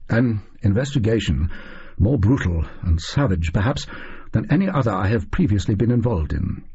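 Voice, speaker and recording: A man voicing a conceited, theatrical Victorian detective in an audiobook, with smug, formal, deliberate diction. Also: lowish voice, sing-song, dark tone, husky, highs cut off, exaggerated voice. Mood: grave, pompous.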